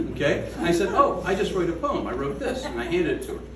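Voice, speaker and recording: A man reading his own poem aloud at a gallery event, slow and measured, with falling line endings. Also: high-pitched, big pitch swings, background noise. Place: art gallery room. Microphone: away from the microphone. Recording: echoey room from a distance.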